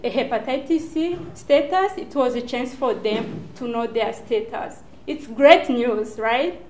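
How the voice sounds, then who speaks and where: A woman in her thirties with a Rwandan accent gives an earnest, prepared research talk as a doctoral student, phrasing things deliberately. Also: on the high side. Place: small indoor lecture room, standing before a screen. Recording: echoey lecture room.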